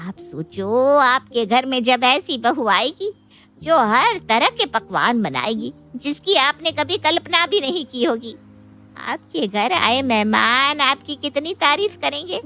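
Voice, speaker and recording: A bustling younger-sister matchmaker, played by a woman pitches her case in a high voice over faint music. She is boastful and persuasive, gushing through storybook dialogue. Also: measured pace, full character voice. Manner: gushing, persuasive, animated phrasing. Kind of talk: dramatised Hindi moral story dialogue.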